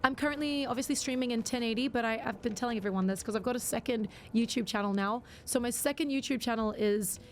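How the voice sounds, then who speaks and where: A woman's voice: a little high, fast, hardly any pauses, a little hiss or hum. A young woman streaming a game, chatting casually and rambling with lots of fillers, her voice a little worn out. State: slightly worn-out voice. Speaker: variety streamer. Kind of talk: casual livestream chat while gaming.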